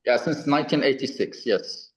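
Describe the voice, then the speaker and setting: A middle-aged Lebanese man, Arabic his first language, talking on a video call in a halting, wry way with many fillers and restarts. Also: mid-range voice, rough recording. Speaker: presenter.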